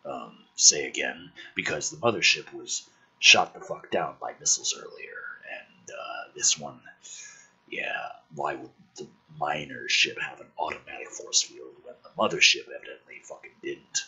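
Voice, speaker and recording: A man with a raspy voice reading a script aloud dramatically, mixed with casual, sardonic, sweary commentary. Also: very deep voice, moderate pace, sharp tone, low-quality recording. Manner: sardonic, sweary, exasperated asides. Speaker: host reading and critiquing a film script draft.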